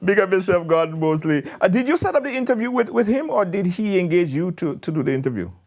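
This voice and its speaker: A man hosting a call-in talk show, jovial and quick to repeat himself. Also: high-pitched, very animated, darkish tone. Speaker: host.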